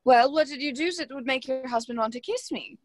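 A woman's voice: high-pitched, fairly quick, no echo. A young woman acting in a radio play as a scheming, haughty society hostess, condescending and taunting. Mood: defiant, taunting. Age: young adult.

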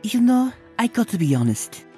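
Woman as cartoon zebra pal, frank, casual, lively intonation, music behind. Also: a little high, normal speed. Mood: sincere. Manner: casual, coaxing. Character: frank, tender-hearted female zebra friend. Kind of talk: comic dub cartoon acting.

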